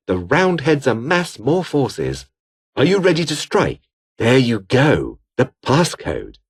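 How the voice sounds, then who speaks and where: Haughty British rebel officer, swooping intonation. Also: male voice, medium pitch, slightly rough. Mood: businesslike. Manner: pompous, sneering, clipped formal phrasing. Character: haughty, bitter, scheming fallen-aristocrat rebel officer. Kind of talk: video game character dialogue.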